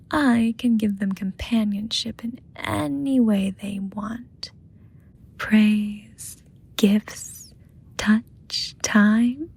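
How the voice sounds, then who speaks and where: A woman's voice: medium pitch, vocal fry, a little mellow, husky, heavily acted. A woman voicing a seductive, possessive lust demon in a romantic ASMR roleplay, smug and teasing, often dropping into whispers.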